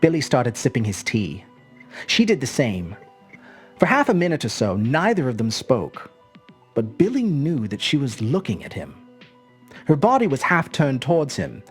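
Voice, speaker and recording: A woman reads literary fiction as a storyteller. Her voice is husky and breathy, with animated swings in pitch. Music sits faintly underneath the narration.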